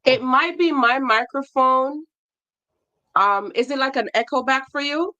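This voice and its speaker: A woman's voice: sits high, a little slow, lo-fi sound. A woman hosting a livestream, introducing a guest and talking directly to her viewers in an encouraging way. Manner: warm encouraging introductions, addresses viewers as guys. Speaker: livestream host. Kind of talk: casual livestream chat.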